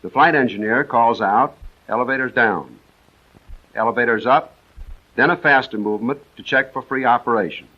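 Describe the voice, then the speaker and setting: A dull, band-limited 1940s film soundtrack carries an American man's voice at a medium pitch. He narrates military instruction from a script, measured and precise, setting out each step in an orderly way.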